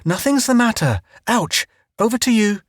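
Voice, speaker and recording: A man putting on a high, bossy young woman voice, gushing and overly polite in a grand way, then yelling and flustered, with a wide lively swing in pitch. it's a storybook character in a dry, close read. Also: moderate pace, no room sound.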